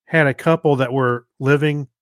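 A man co-hosting a podcast, telling anecdotes in a casual, halting, conversational way. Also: mid-range voice, fairly even intonation, dry recording, studio quality. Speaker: podcast co-host. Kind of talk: casual chat.

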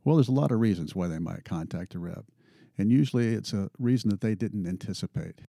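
A man's voice: fairly low pitch, a little fast, gravelly, dark tone, dry recording, studio quality. A man in his fifties, a pilot union representative, answering interview questions earnestly and often repeating words as he thinks.

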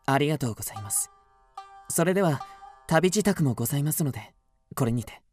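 A man's voice: a little high, animated, quick pace, darkish tone, soft background music, close to an everyday voice. Earnest young samurai, played by a man, courteous and resolute. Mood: formal, respectful. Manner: formal, archaic, courteous speech. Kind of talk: period radio drama acting.

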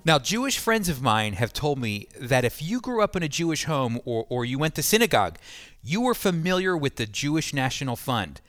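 A man's voice: on the high side, very animated, brisk, fairly bright tone, dry recording, clean studio sound, soft background music. A man hosting a radio interview program as its presenter and teacher. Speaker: radio host and Bible teacher.

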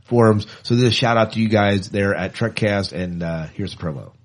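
A man's voice: on the low side, brisk, heavy background noise. A man co-hosting a podcast, chatting casually and reading odd news items in a jokey, enthusiastic way. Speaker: podcast co-host.

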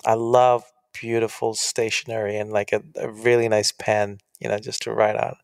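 A man's voice: lowish voice, flat delivery, moderate pace, creaky, dry recording, clean studio sound. A man, an author as guest, talking earnestly and animatedly in a casual interview.

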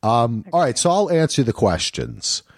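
A man in his fifties with a deep radio-type voice, chatting casually as a podcast co-host. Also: huge pitch swings, normal speed, vocal fry. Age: 50s. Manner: chatty, rambling with restarts. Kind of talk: casual chat.

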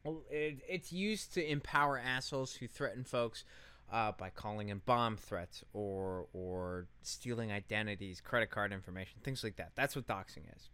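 A man's voice: on the low side, normal speed, pushed and bright, clean tone, no echo. A man co-hosting a podcast, reading aloud and chatting in an informal, sweary way. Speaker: podcast co-host. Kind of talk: casual podcast chat and reading aloud from a post.

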